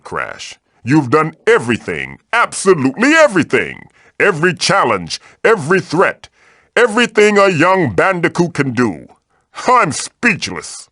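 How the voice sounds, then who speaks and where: Casting: wise old magical mask mentor for a video game, theatrical and wry, very expressive pitch, dry close sound. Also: male voice, dry recording. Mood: impressed, excited. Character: wise, wisecracking ancient magical mask guardian, male. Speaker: game guide character giving tutorials. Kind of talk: animated video game voice acting.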